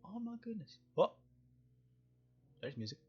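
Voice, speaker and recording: A man's voice: high voice, sing-song, unhurried, warm tone, husky, highs cut off. A man giving casual, sarcastic let's-play commentary on a video game, with joking asides and dialogue read aloud.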